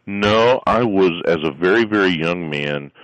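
A middle-aged man from South Florida with a very deep bass voice, telling stories in a casual interview with lots of fillers and restarts. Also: expressive, measured pace, fairly bright tone, no echo, rough recording. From South Florida, USA. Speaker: guest, strength coach and musician.

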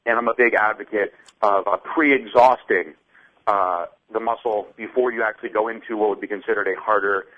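A man of thirty, a guest on a radio show, calmly explaining his workout methods step by step. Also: on the low side, brisk, dull audio. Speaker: fitness guest. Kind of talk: casual interview answers.

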